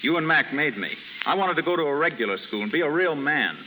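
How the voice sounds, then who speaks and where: An actor playing an aimless young American son, aggrieved and wryly flippant, in a 1930s radio drama. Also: male voice, fairly high pitch, animated, quick pace, pushed and bright. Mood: aggrieved, pleading. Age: young adult. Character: aimless young wastrel son, aggrieved, wryly self-mocking, fond of drink. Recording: very noisy 1930s radio recording.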